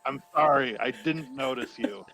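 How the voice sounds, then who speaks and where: A man's voice: mid-range voice, measured pace, gravelly, over music, poor audio. Man playing a curious, polite male adventurer.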